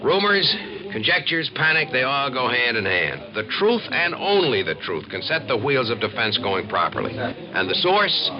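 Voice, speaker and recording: An actor playing an affable, authoritative American civil defense officer, explaining matter-of-factly in a 1950s radio drama style. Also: male voice, mid-range voice, big pitch swings, moderate pace, over music, close to an everyday voice. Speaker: attack warning officer. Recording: dull vintage radio recording, busy room behind.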